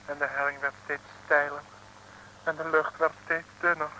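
A man's voice: fairly high pitch, flat delivery, normal speed, pushed and bright. A man narrating a comic Dutch radio serial from around 1960, building up the story theatrically. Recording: noisy old radio recording.

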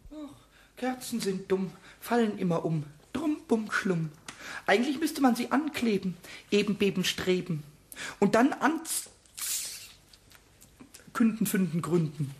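Small mischievous kobold: high, very animated, excitable and cheeky, muttering rhymes to himself. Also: male voice, unhurried, long pauses. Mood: bored, rhyming. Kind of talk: children's radio play acting.

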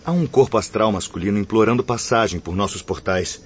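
Radio-play guard: man acting a respectful soldier, low voice, Brazilian accent, formal delivery, slightly muffled sound. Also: a little fast, slight background noise, close to an everyday voice. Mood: urgent, deferential. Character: dutiful, deferential male soldier guarding spirit gates. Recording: dull lo-fi audio, faint ambience.

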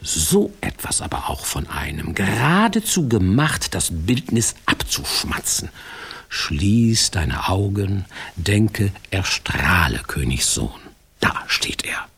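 An actor playing a wry, confiding fairy-tale narrator in a radio play, with a husky, breathy voice. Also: male voice, lowish voice, sing-song, takes it slowly, a bit bright, heavily acted.

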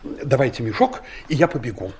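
Husky, timid old investigator. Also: male voice, mid-range voice, wildly swooping pitch, brisk, darkish tone, exaggerated voice. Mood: apologetic, tender. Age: older. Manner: hesitant, earnest, old-fashioned politeness. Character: timid, conscientious elderly male investigator, reluctant amateur robber. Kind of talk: audio play acting.

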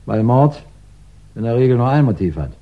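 An actor playing a thoughtful, doubting police chief in a 1960s German radio play, speaking deliberately. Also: male voice, medium pitch, sing-song, lightly acted. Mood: pensive. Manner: deliberate, pensive, hesitant. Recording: dull 1960s radio recording.

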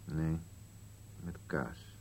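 An actor playing a stubborn, bitter old husband in a radio play, with a blunt, contrary, grumbling manner. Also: male voice, very slow, a little mellow. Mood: grumpy, stubborn. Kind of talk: dialogue in an absurdist radio play.

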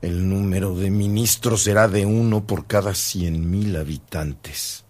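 An actor playing a grave, defiant middle-aged bishop in a radio drama, with a husky, creaky voice and a solemn, emphatic manner. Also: male voice, deep voice, fairly even intonation, unhurried, darkish tone. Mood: grave, dismayed.

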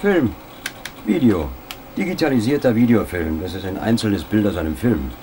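An actor playing a precise professor of digital image manipulation, speaking in a lecturing, matter-of-fact way. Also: male voice, on the low side, animated, normal speed, mellow, a little hiss or hum, lightly acted.

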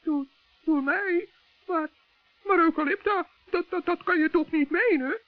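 A man voicing a good-hearted, stubborn little forest gnome in a children's radio play, squeaky, creaky and earnest. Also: unhurried, no room sound. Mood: puzzled, doubtful. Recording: dull, dry vintage radio recording.

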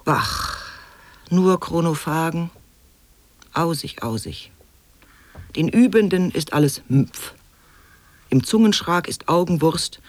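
A woman's voice: low-pitched, darkish tone, no echo. A woman acting an eccentric chanter of mock-learned nonsense in an avant-garde radio play, theatrical and exclaiming.

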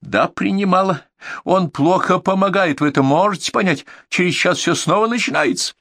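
A raging woman caller screams insults and threats down a phone line, as a male reader puts her on in a strained high voice. Her tone is indignant and abusive. The gravelly texture of the reader's voice stays under it, in a dry close recording. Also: normal speed, fairly bright tone, no echo. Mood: grudging. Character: furious shrieking asthmatic woman caller.

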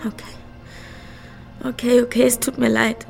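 Vulnerable young woman, higher-pitched. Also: flat delivery, moderate pace, gravelly, a little mellow. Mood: vulnerable, apologetic. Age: young adult. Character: young female drifter, vulnerable, casual, on the run. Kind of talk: radio crime drama dialogue. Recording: music behind the voice.

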